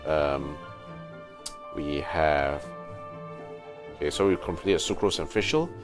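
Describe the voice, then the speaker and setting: A man's voice: very deep voice, takes it slowly. A man livestreaming a video game with casual commentary, thinking aloud in short remarks. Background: game music.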